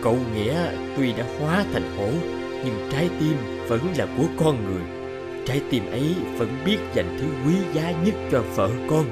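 A man playing a grave, compassionate old village elder in an animated folk tale, speaking in a measured, solemn way. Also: mid-range voice, over loud music, natural voice.

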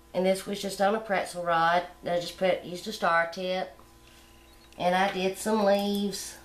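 A middle-aged woman hosting a cooking channel, explaining step by step how to decorate a sugar egg at home in a relaxed, homey way. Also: mid-range voice, reverberant. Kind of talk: hands-on decorating tutorial.

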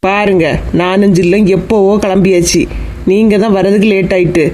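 A woman's voice: mid-range voice, level tone, brisk, dark tone, slight background noise, close to an everyday voice, poor audio. Woman acting bossy young village wife, Kanyakumari accent. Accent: Kanyakumari Tamil. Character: sharp-tongued, affectionate young village wife and mother. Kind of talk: comedy cartoon voice acting in colloquial dialect.

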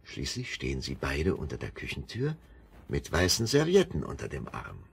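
A man narrating a children's radio play in a measured, lively storytelling manner. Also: a bass voice, creaky, slightly rough.

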